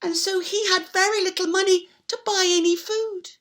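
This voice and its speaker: A woman telling a children's story in a theatrical, interactive way, with playful repeated sound words and drawn-out emphasis. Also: extremely high pitch, fairly even intonation, a bit bright. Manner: invites listeners to join in.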